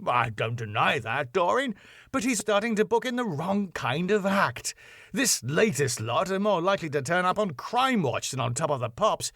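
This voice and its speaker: An excitable sitcom husband who owns a ballroom club, acted out by a male reader. He is theatrical and exclamatory, with a pitch that swings widely and climbs high, recorded close and dry. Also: normal speed, no echo, full character voice. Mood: excited, exasperated. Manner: flamboyant, exclamatory. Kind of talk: sitcom script reading.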